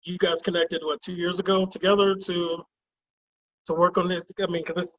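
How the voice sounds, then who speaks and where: A man's voice: sits high, level tone, normal speed, fairly bright tone, rough recording. A man hosting an interview show, opening with upbeat introductions. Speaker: host.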